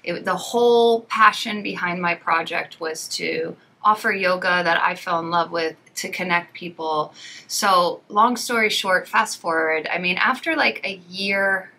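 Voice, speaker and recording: A woman's voice: fairly low pitch, measured pace, bright, forceful tone. A woman yoga teacher, a native English speaker, chatting as a podcast guest and telling long rambling stories full of "like" and "you know". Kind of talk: casual podcast conversation.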